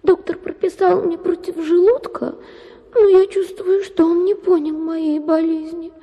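An actress playing a self-pitying, capricious young wife in a radio drama, with an affected, sighing, melodramatic manner. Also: female voice, extremely high pitch, flat delivery, a little slow, lo-fi sound. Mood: plaintive, self-pitying. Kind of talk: dramatized literary short story for radio.

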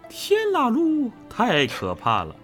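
A man chatting casually and playfully as a livestream co-host, animated and teasing, quick to laugh. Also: very high-pitched. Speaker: voice actor co-hosting a fan livestream. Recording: background music.

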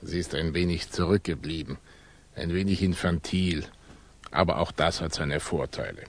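An actor playing a cunning Russian émigré revolutionary in a German radio play, flattering and condescending, with florid, oily politeness. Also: male voice, deep voice, moderate pace. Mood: effusive, condescending.

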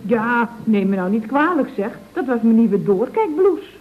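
An actress playing a matter-of-fact, unabashed office employee who gives short, dry, frank retorts in a comic radio drama. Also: female voice, a little high, sing-song, unhurried. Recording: thin, phone-like old radio recording.

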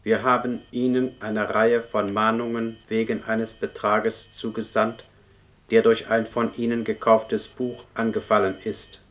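An actor voicing a book club's computer in a radio play, reciting formal demand notices in an impersonal, stiff way. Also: male voice, on the low side, little pitch movement, a little slow, fairly bright tone. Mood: impersonal, official. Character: male book club computer reading out form demands. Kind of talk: scripted radio play, formulaic official notices. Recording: noisy, with background ambience.

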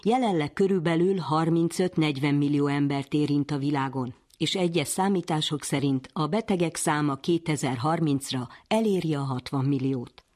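A woman's voice: low voice, hardly any pauses, a little mellow. A woman presenting a science radio programme, reading scripted narration and putting questions. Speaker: presenter, interviewer.

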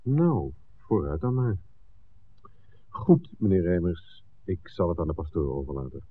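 Honest, stubborn city fellow, low and dry, vintage radio drama with tinny sound. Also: male voice, big pitch swings, measured pace. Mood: reluctant. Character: principled, stubborn young city man, dryly honest. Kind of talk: 1960s Dutch radio play acting. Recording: tinny old radio recording, faint hiss.

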